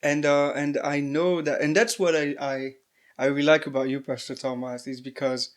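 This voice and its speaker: Hesitant co-host from Congo, higher voice. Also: male voice, level tone, moderate pace. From Republic of the Congo. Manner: hesitant, many fillers and restarts while forming questions. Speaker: interview co-host. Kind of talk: casual interview chat.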